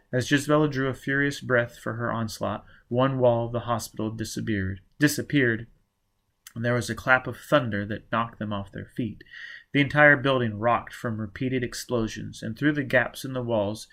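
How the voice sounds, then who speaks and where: A man's voice: medium pitch, steady tone, normal speed, vocal fry, a bit bright. A man reading a novel aloud as dramatic scripted narration. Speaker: audiobook reader.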